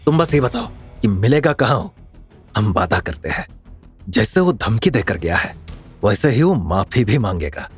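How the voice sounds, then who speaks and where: Man playing an earnest tea seller, animated delivery. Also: fairly low pitch, normal speed, slightly rough, soft background music, heavily acted. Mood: calm, resolute. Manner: rustic polite Hindi, calm but firm. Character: kind, principled young male tea seller, protective, earnest.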